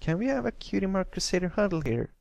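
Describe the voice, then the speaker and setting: A man's voice: sits high, sing-song, quick pace, vocal fry, a little mellow, dry recording, highs cut off, pitched above the performer's own voice, heavily acted. A man voicing a brash, tomboyish young girl pony, blunt and scornful, in a story reading. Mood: protesting.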